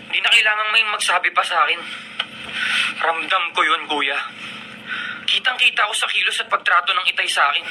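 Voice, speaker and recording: Man acting a high-pitched, aggrieved young son in radio drama. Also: a little fast, long pauses, background noise, close to an everyday voice. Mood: hurt, resentful. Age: young adult. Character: hurt, resentful college-age younger son and brother. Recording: radio drama broadcast under loud music. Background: music.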